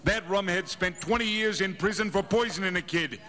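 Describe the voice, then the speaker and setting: A man's voice: high voice, a little fast, bright, forceful tone. An actor playing a hostile, sarcastic tough-guy barkeep with brusque, slangy, threatening delivery in a live radio drama. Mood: contemptuous, bullying. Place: theatre stage. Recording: live stage radio play with music.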